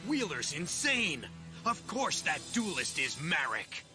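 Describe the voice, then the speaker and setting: A man's voice: fairly high pitch, huge pitch swings, slow pace, full character voice. An actor voicing an arrogant, cold young male rival who speaks in a dismissive, scornful way. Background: dub soundtrack music.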